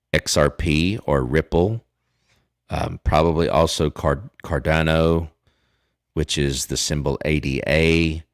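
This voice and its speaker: A man in his forties speaks with a Texas Southern accent in an extremely low voice. He talks loosely and rambles, filling gaps with ums and starting sentences over. The sound is close and completely dry. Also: no echo. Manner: rambling, many fillers and restarts. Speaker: livestream host. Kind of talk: casual chat.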